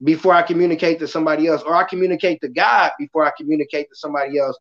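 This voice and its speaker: A passionate, loud-voiced man hosting a casual podcast talk, acting out quotes as he goes. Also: fairly high pitch, fairly even intonation, rapid-fire, lo-fi sound. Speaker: podcast host; kindergarten school worker.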